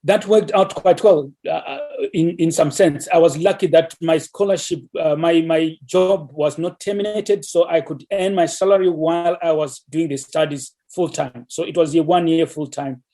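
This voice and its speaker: A middle-aged man from Zimbabwe answers interview questions in a soft, mellow voice that sits a little high for a man. He talks thoughtfully, often stopping to restart and repeat words before going on. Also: fairly even intonation, moderate pace, hardly any pauses. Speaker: interview guest, mathematics professor.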